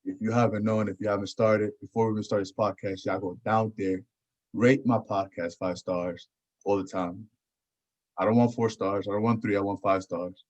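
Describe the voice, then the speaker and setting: A man's voice: fairly low pitch, fairly even intonation, normal speed, a little mellow. A man hosting a podcast in casual chat, often repeating his phrases. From Houston area, United States. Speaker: podcast host. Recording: dull, lo-fi audio.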